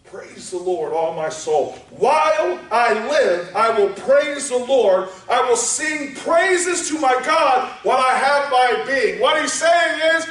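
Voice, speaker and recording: A man preaching a sermon, moving from quiet teaching up to shouted exhortation. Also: extremely high pitch, lively intonation, slow pace, hardly any pauses. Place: church sanctuary. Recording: echoey church hall, voice from far off.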